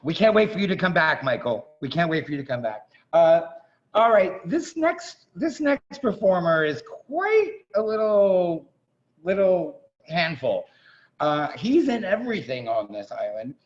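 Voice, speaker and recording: A man hosting a staged reading over a video call, making effusive, chatty, informal introductions in a gravelly voice. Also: on the high side, big pitch swings, unhurried. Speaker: host of a staged reading event. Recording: dull, muffled video-call audio.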